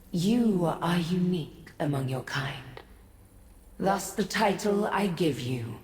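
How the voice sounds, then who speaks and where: An actress playing an ancient, aloof godlike being in a fantasy game, speaking formally and with haughty, cryptic condescension. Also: female voice, deep voice. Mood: cryptic, solemn. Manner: measured, formal, condescending to mortals. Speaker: video game character. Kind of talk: scripted fantasy game dialogue. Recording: echoey, long reverb.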